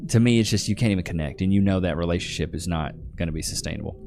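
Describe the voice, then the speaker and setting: Man, livestream host, deep-ish relaxed chatter, soft guitar music behind. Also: racing, vocal fry. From Texas. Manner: laid-back, calls viewers bro and brother. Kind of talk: casual livestream chat reading comments.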